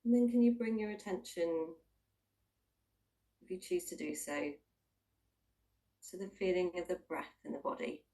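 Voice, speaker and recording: A woman leading a guided body scan meditation, giving gentle instructions in unhurried, invitational phrases with long pauses. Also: on the low side, animated, recorded from a distance, low-quality recording.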